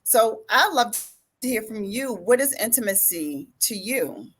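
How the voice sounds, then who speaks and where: A woman's voice: fairly high pitch, animated, measured pace, fairly bright tone, breathy edge. Upbeat woman hosting a podcast. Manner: upbeat, friendly, laughs easily. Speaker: podcast host. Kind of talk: casual interview.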